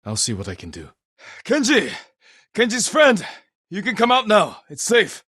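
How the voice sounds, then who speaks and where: An actor playing a stern, honorable samurai warrior in a video game, with a husky voice and a measured, terse, commanding manner. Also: male voice, high-pitched, wildly swooping pitch, bright, forceful tone, dry recording, clean studio sound, heavily acted. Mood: shouting, urgent. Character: stern honorable adult male samurai warrior, dutiful and weary. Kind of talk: video game character voice lines.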